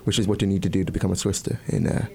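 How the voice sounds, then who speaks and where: A young man in his twenties, a law student answering interview questions in a relaxed way with frequent fillers. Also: low-pitched, racing, vocal fry. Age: 20s. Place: radio studio.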